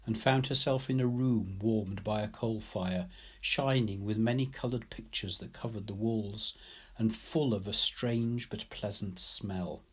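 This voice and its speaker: Male storybook narrator: husky, unhurried, measured literary delivery. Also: lowish voice. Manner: measured, deliberate phrasing. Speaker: reader, teacher. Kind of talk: literary story read aloud.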